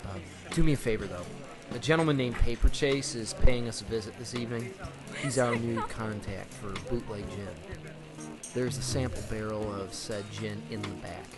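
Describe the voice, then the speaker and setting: An actor playing a wary, composed speakeasy owner in an old-time radio drama, clipped and polite. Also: male voice, lowish voice, normal speed, over music, lightly acted.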